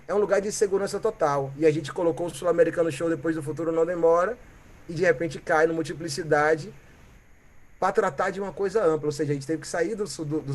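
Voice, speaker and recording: A Brazilian man from Bahia, a musician guest, telling stories in a reflective, animated way and often ending with "né?" or "sabe?". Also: on the high side. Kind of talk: reflective conversational storytelling.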